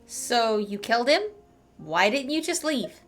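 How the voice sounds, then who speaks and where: A woman's voice: on the high side, big pitch swings, a little slow, faint music behind. A woman hosting a game playthrough with casual, playful, snarky commentary. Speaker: gaming channel host.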